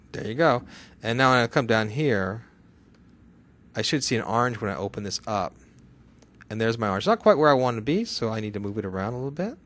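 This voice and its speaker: Chatty man teaching software. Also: medium pitch. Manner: chatty, asking and answering his own questions. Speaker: software instructor. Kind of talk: live software tutorial to a class.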